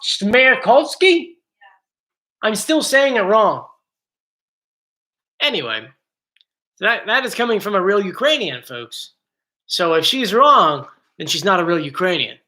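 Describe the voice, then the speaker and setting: Bright-voiced joking male stream host. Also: high voice, very animated, a little slow, long pauses. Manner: chatty, joking, easily sidetracked. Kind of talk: casual live stream chat. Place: home, bedroom area. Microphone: computer mic.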